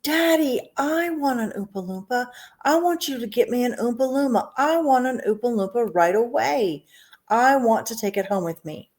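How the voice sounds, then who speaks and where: A woman voicing a spoiled, demanding young girl, with a whiny, high-pitched voice. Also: lively intonation, moderate pace, a little mellow, lightly acted.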